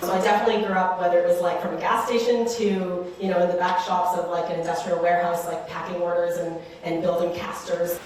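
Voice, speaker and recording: Guest, woman, thirties, from Western Canada, quick filler-heavy chat, lowish voice, far-off and echoey live recording. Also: level tone, darkish tone, slight background noise. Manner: chatty, many fillers like "like" and "you know". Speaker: guest, startup co-founder. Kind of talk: casual interview talk.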